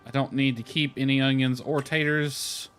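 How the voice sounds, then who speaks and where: Casual male game streamer over soft music. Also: mid-range voice, steady tone, moderate pace. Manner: chatty, thinking aloud while playing. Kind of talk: casual livestream gameplay chat. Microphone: headset.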